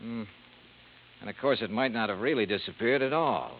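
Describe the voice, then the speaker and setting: An actor playing a wry, skeptical freelance insurance investigator in a 1950s radio drama, with clipped, punchy narration and dialogue. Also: male voice, on the low side, normal speed, a little hiss or hum, natural voice. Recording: muffled vintage radio with music.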